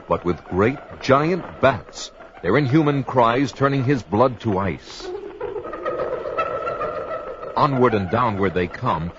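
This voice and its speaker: A man as a grave storyteller, giving dramatic scripted narration of a sword-and-sorcery audio drama in a portentous manner. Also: medium pitch. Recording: dull lo-fi, loud background noise.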